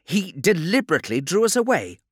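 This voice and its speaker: Wary, blunt male officer narrator performing, clipped and forceful, very animated, sci-fi audiobook, dry studio sound. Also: on the high side, unhurried, breathy edge, dry recording, voice pushed up, heavily acted. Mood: alarmed.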